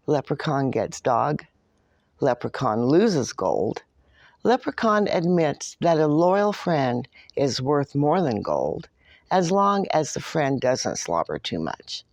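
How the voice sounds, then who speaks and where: A woman reading a picture book in the first person as a smug, grumbling but soft-hearted leprechaun. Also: low-pitched, sing-song, slow, no room sound, exaggerated voice. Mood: proud, wry. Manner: boastful, dramatic storytelling.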